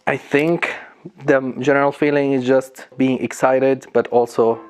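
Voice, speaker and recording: A man's voice: medium pitch, level tone, unhurried. A man in his thirties from Syria, a bootcamp student speaking candidly and reflectively in a personal interview. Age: 30s.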